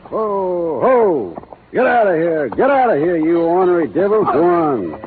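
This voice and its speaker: An easygoing young cowboy hero in an old radio drama. He talks in a folksy, teasing American Western drawl with lots of pitch movement. The sound is narrow and tinny, like a vintage broadcast. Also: very animated, measured pace, background noise. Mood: gallant, sheepish. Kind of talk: 1930s radio drama acting.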